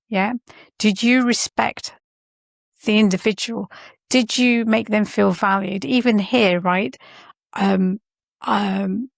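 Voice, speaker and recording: A woman's voice: mid-range voice, creaky, no echo, clean studio sound. A middle-aged woman speaking as an interview guest, thoughtful and earnest, often restarting her sentences as she reflects. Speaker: podcast guest, science and healthcare leader.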